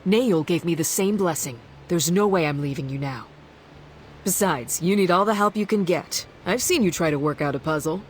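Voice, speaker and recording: An actor playing a plucky, loyal adventuring companion in a video game, brisk and confident with a teasing edge. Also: mid-range voice, slight background noise, lightly acted. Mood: determined, brisk.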